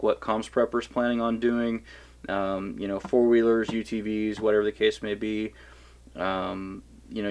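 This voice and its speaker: Laid-back rambling man reviewing radios. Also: fairly low pitch. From Kansas City area, USA. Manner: rambling, many fillers and restarts. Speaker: gear reviewer. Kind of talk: casual product review and field test. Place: outdoors in wooded hilly area.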